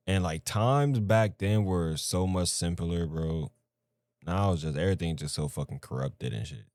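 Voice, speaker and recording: A man's voice: deep voice, normal speed, a little mellow, no echo, studio quality. A man hosting a reaction video, giving casual commentary in a slangy, informal way with some swearing.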